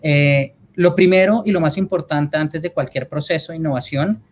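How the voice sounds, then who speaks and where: A man's voice: fairly high pitch, moderate pace, slight background noise. A man from Colombia with a Colombian accent, answering questions as a guest speaker in an explanatory way, often checking that he is followed. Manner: explanatory, frequent check-in tags. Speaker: advertising executive, guest speaker. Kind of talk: answering audience questions in an online talk.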